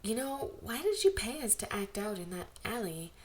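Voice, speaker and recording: A woman voicing an insistent, skeptical young actress in a fan fiction reading, with brisk, questioning delivery. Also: mid-range voice, big pitch swings, darkish tone, natural voice. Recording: amateur home recording with background noise.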